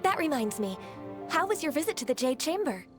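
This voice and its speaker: High-voiced, polite young lady. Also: very animated, a little fast. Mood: courteous. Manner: polite, courteous. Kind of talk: video game voice acting. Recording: music behind the voice.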